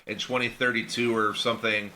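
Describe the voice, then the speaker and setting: Easygoing man with a very bright voice. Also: lowish voice, flat delivery, fairly quick. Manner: easygoing, rambling, laughs often. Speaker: podcast co-host. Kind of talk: casual banter.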